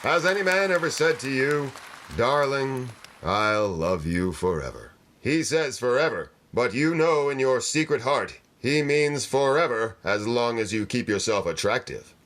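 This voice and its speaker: A man's voice: mid-range voice, animated, unhurried, fairly bright tone. A man playing a persuasive, polished 1940s radio announcer with emphatic delivery. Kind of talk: 1940s radio advertising pitch and banter.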